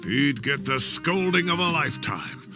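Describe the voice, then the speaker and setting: An actor playing a jovial, folksy elderly professor with a creaky voice and a theatrical, rambling manner in an anime game. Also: male voice, a little high, expressive, moderate pace. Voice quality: creaky old-man voice. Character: elderly male professor, jovial, homely, proud gardener. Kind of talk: anime video game voice acting. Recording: music under the voice.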